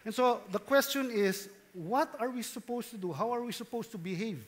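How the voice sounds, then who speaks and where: A man in his thirties with a Filipino accent preaches as a pastor, switching between English and Tagalog in an animated, playful way with questions to the congregation. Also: very high-pitched, normal speed. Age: 30s. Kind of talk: sermon mixing English and Tagalog.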